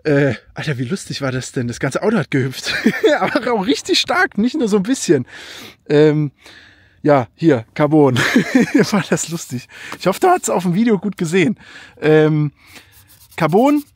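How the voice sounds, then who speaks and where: Excitable young man rambling about his car. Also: on the high side, moderate pace, husky, dry recording, studio quality. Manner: enthusiastic, rambling, thinks aloud and corrects himself. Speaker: car vlogger. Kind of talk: casual car review vlog. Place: outdoors by a car in a snowy field.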